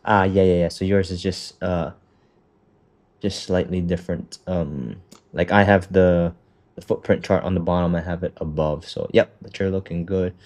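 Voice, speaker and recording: A man's voice: deep voice, steady tone, normal speed, a little mellow. A man coaching a student through a trading setup on a call, talking loosely with lots of fillers and the odd swear. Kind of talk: casual walkthrough on a call.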